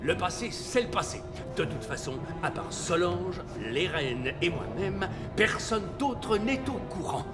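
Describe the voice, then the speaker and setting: A man's voice: high voice, very animated, a little slow. A man playing an old, grumbly, self-reproaching Santa Claus in a cartoon, muttering and exclaiming theatrically. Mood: grumbling, ashamed. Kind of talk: animated cartoon dialogue. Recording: music playing behind the voice.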